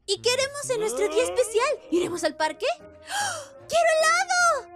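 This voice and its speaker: Cartoon dub: small demon daughter, very high childlike voice, lively intonation, sweet, pleading, excitable. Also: female voice, normal speed, exaggerated voice. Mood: excited. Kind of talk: animated comedy fan dub. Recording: playful music behind the dialogue.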